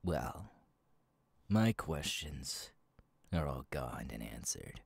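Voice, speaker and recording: A man voicing a sadistic masked killer in an ASMR roleplay, husky and taunting, slow and halting, often dropping to a whisper. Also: extremely low pitch, sing-song, no echo, exaggerated voice. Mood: coldly taunting. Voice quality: husky, gravelly. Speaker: voice actor, ASMR artist. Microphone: close, dry microphone.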